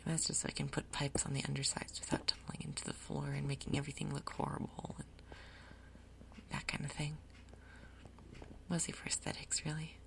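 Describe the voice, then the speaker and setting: A woman speaks in a soft, breathy whisper as she hosts an ASMR gaming video. Her voice sits low and stays quite even, with very little melodic movement. She murmurs her thoughts aloud in an unhurried, gentle commentary.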